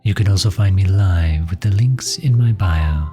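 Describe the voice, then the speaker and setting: Mellow-voiced man reading a scripted outro. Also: low-pitched, moderate pace, faint music behind. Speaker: audio roleplay performer signing off. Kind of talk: scripted upbeat outro to listeners.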